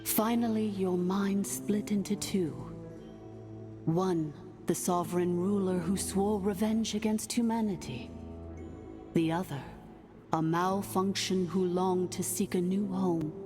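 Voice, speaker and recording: A woman's voice: mid-range voice, fairly even intonation, slow pace, lightly acted. A woman playing a grave, formal artificial dragon sovereign recounting ancient history in a video game. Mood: grave, solemn. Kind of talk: scripted video game dialogue. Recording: game music behind the voice.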